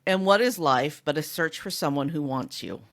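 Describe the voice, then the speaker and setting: Female podcast co-host for a casual book chat, expressive with very varied pitch. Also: normal speed.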